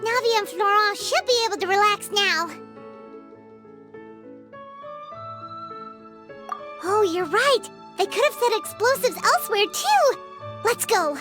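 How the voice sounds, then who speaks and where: A tiny childlike fairy sidekick from an anime game. The voice is extremely high and squeaky, swinging up and down in excitable, fretful exclamations, with clear background music. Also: female voice, normal speed. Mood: alarmed. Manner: exclaiming, refers to self in third person. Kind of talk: anime video game voice acting.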